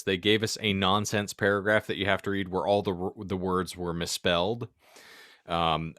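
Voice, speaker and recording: A man's voice: on the low side, a bit bright, no room sound, clean studio sound. A man co-hosting a casual comedy podcast, wordy, with theatrical mock exasperation. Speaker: podcast co-host and narrator.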